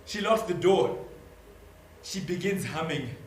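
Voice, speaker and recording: A man's voice: on the high side, expressive, unhurried. A man performing an intense, emotive theatrical monologue as a child narrator, in short emphatic phrases. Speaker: stage actor narrating as a child. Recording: roomy stage recording with faint noise.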